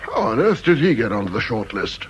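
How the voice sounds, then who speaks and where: An actor playing a dry, dismissive British interview panel member in a radio drama. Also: male voice, mid-range voice, big pitch swings, fairly quick, slightly rough. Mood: disparaging. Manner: dry, disparaging, hesitant when formal. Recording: dull old radio recording.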